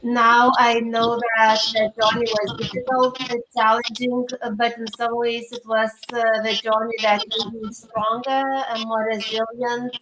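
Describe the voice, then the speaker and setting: A woman with a Lithuanian accent speaks in a fairly high, very even voice as an interview guest. She answers earnestly and haltingly, recorded in an echoey room. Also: a crowd in the background. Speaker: interview guest, career coach. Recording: echoey room with background noise.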